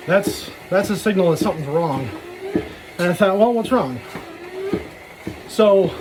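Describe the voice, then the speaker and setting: A man with a rather high-pitched voice talks in a loose, casual vlog style. He wanders between thoughts and slips in self-deprecating jokes. Clear ambient noise, with some vehicle sound, runs behind him. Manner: self-deprecating, jokey asides. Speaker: vlogger. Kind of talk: casual rambling personal vlog. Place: talking while out walking.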